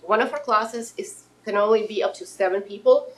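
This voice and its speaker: A woman's voice: mid-range voice, normal speed, fairly bright tone, rough recording. A woman in her thirties from Russia, giving a friendly, informal presentation of her fitness business to an audience, with restarts and fillers. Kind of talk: pitch presentation to a live audience.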